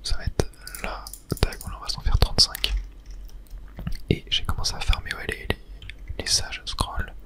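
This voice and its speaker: A person whispering softly through casual ASMR video game commentary, murmuring play-by-play with little filler words. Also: moderate pace. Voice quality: soft unvoiced whisper. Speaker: gaming ASMR creator.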